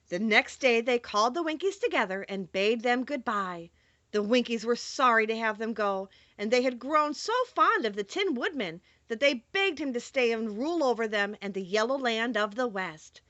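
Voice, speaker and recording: A woman narrating a children's storybook in an expressive, theatrical read-aloud style. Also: fairly high pitch, normal speed, no echo, muffled recording. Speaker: audiobook narrator.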